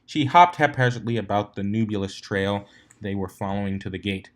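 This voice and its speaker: A man's voice: on the low side, fairly even intonation, a little fast. Man narrating a fantasy story. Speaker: fan fiction reader, narrator.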